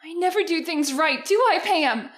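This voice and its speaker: Distraught kid sister, adult female actor, radio melodrama: extremely high pitch, girlish, plaintive, fragile. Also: animated, normal speed. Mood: despairing. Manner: plaintive, wounded. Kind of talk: old-time radio melodrama acting.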